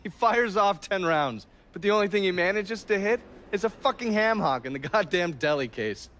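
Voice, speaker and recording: A man's voice: high-pitched, lively intonation, moderate pace, a bit bright. An actor playing a sardonic informant in a video game, swearing as he relishes telling colourful gossip. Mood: amused, mocking. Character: wry, foul-mouthed informant, relishing his gossip. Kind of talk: video game cutscene acting.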